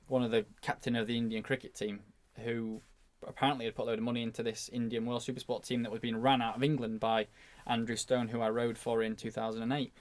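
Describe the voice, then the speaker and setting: A man speaking as a podcast guest and motorcycle racer, telling anecdotes in a casual, informal way. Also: mid-range voice.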